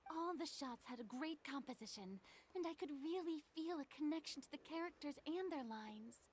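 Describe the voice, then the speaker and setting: An actress playing a proud, theatrical young stage star in a video game, haughty with flustered hesitations. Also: female voice, high-pitched, expressive, moderate pace, background noise. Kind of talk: acted video game dialogue.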